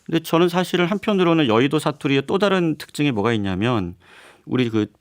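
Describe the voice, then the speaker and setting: Measured male professor on studio radio. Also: fairly high pitch, lively intonation, no echo. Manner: measured, explanatory, with hesitant fillers. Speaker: political science professor guest. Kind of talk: radio current-affairs interview. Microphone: studio microphone.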